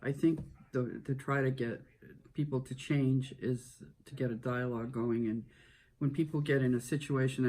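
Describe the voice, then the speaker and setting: A middle-aged man with a mellow, warm tone speaks in a measured, thoughtful way. He weighs his words as he answers interview questions. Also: medium pitch, breathy edge.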